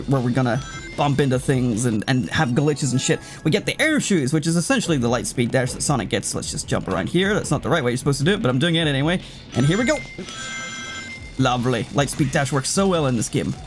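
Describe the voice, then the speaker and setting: A man giving casual, rambling game commentary, chatting to the game as he plays. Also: a little high. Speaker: let's player commentating a game. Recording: loud game music behind voice. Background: video game music.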